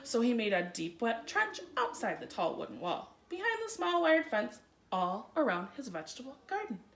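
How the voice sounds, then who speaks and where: A woman reading a children's picture book aloud in an expressive, theatrical way with dramatic emphasis. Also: a little high, moderate pace. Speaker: children's story reader. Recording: roomy, echoey room sound.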